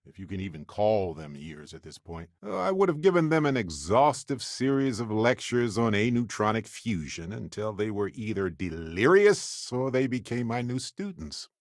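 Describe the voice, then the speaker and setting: A man's voice: fairly low pitch, big pitch swings, normal speed, hardly any pauses, dry recording, studio quality. An actor playing a genial, witty male space explorer and scientist in a video game, talkative and wry with a theatrical flair. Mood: teasing, conversational. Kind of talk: video game character voice lines.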